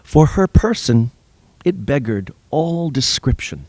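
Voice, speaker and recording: An actor playing a worldly Roman soldier recounting a marvel, in a measured, lyrical Shakespearean monologue. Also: male voice, mid-range voice, sing-song, darkish tone, no echo, exaggerated voice. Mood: rapt, admiring. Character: worldly Roman soldier, awestruck storyteller, savoring memories.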